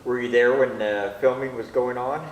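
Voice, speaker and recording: A man's voice: mid-range voice, steady tone, normal speed. A man in the audience asking informal, spontaneous questions. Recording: echoey room, distant mic, faint noise.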